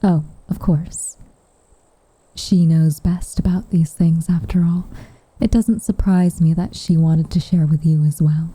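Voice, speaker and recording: A woman playing a gentle, wistful witch in an intimate ASMR roleplay, speaking softly and half-whispered, in a measured, tender way. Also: on the low side, steady tone, dry recording, rough recording. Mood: gently teasing. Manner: soft, breathy, tender, measured delivery. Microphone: close microphone.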